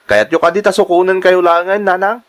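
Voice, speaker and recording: A man's voice: high voice, fairly even intonation, brisk, lightly acted. A young man with an Ilocano accent playing a worried, dutiful son in a melodramatic drama, earnest and pleading. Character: worried, dutiful grown son urging mother home. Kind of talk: amateur melodramatic drama acting.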